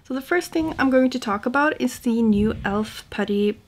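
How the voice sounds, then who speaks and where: A woman's voice: on the high side, normal speed, faint music behind. A young woman from Norway with a Norwegian accent, chatting casually and informally to camera as a beauty vlogger. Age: young adult. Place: small home room indoors.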